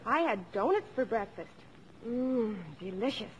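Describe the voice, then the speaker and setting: A woman's voice: a little high, lively intonation, measured pace. An actress playing a smug, taunting young girl in a 1950s radio drama, mock-sweet with her siblings. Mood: gloating. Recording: noisy 1950s radio broadcast.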